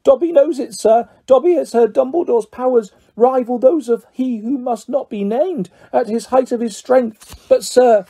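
A tiny, anxious house-elf as performed by a male reader pleads in a very high, husky voice. He is servile and deferential, yet stubborn, with a soft mellow edge, straight out of a fantasy audiobook. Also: moderate pace, exaggerated voice. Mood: urgent, reverent. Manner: speaks of himself in third person, deferential. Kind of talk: audiobook character voice.

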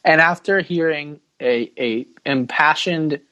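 A man's voice: on the high side, takes it slowly, pushed and bright, dry recording, low-quality recording. A man hosting a casual sports podcast, giving opinions in a mock-dramatic, self-deprecating way. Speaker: podcast host.

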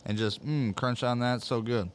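A young man with an ordinary mid-range voice hosts a relaxed chat. He talks easily and swears offhandedly, often trailing off with an "um" as he keeps up with viewers. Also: mid-range voice, normal speed, a little hiss or hum. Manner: laid-back, swears casually, trails off with "um". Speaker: podcast host reading live comments. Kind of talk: casual chat.

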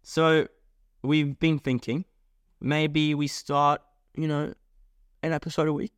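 A man's voice: on the high side, level tone, slow pace, smooth, no room sound, clean studio sound. A man co-hosting a casual podcast, talking in a joking, rambling way. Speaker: podcast co-host. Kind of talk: casual chat.

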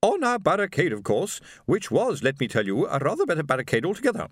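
A man's voice: on the high side, huge pitch swings, fast, no room sound, studio quality, voice pushed up. A man voicing a haughty, domineering, disapproving wife who talks in an imperious, demanding snap for an audiobook. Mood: bossy. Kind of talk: audiobook character voice.

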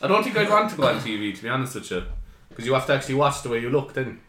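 A man's voice: mid-range voice, big pitch swings, rapid-fire, pushed and bright. Young man, podcast host, rambling, swearing, echoey room. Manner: swearing, rambling, laughing often. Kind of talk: casual chat. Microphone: podcast mic.

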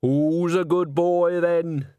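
A man's voice: fairly high pitch, fairly even intonation, slow, mellow, clean tone, dry recording, muffled recording, voice pushed up. A man voicing a doting, cheeky old countrywoman in a storybook, with a cooing, folksy manner.

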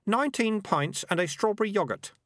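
A gentle, simple young orphan boy, voiced by a man. He answers in a very high, plain, guileless way. The sound is close and dry. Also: lively intonation, brisk, no echo. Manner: plain, guileless, matter-of-fact.

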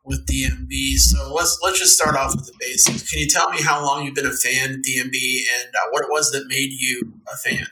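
Man, podcast host, strikingly bright sharp tone, medium pitch, casual interviewing. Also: level tone, a little fast, few pauses, slight background noise, low-quality recording.

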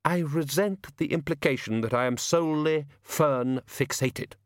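Man putting on a haughty, high-pitched frost spirit. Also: a little slow, dry recording, studio quality, natural voice. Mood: haughty, defensive. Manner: haughty, defensive. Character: prickly, vain male frost spirit artist, fussy and icy. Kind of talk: audiobook character reading.

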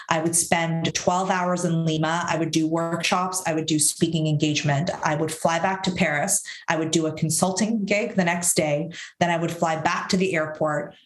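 A woman in her thirties speaking as an interview guest, frank and reflective about her past, sometimes rattling off events in quick runs. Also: low voice, roomy sound, low-quality recording. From Syria. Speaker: guest, author and professor. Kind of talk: candid interview conversation.

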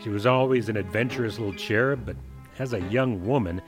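A man's voice: on the low side, a little fast, close to an everyday voice. A man playing a proud, commanding older tycoon in a 1930s noir audio drama, pompous and formal. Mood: proud, regretful. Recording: music under the voice.

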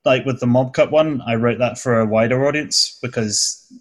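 A man's voice: medium pitch, normal speed. A young man with a southern English accent, a comedy video creator chatting casually in an interview and explaining things at length with restarts. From southern England.

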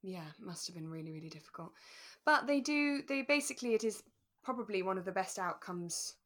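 A woman co-hosting a podcast, talking through a radio drama in a relaxed, careful, explanatory way. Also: medium pitch, fairly quick. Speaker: podcast co-host.

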